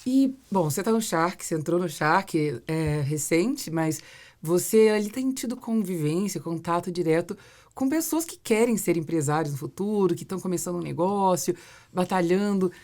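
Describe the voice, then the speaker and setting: A woman's voice: on the low side, animated, normal speed, a little mellow. A woman with a Brazilian accent hosting a podcast, speaking in a casual, conversational interview style. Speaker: podcast host.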